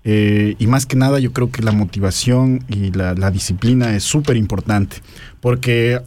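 A man's voice: fairly low pitch, steady tone, normal speed, a little mellow. A young man with an Ecuadorian accent, answering interview questions casually and explaining things with some hesitation. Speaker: interview guest, researcher. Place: studio.

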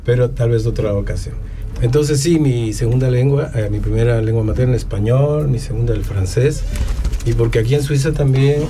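A man's voice: medium pitch, warm tone. Ecuadorian man chatting over event noise. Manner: unhurried, with many fillers and restarts. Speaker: musician guest. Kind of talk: casual interview talk.

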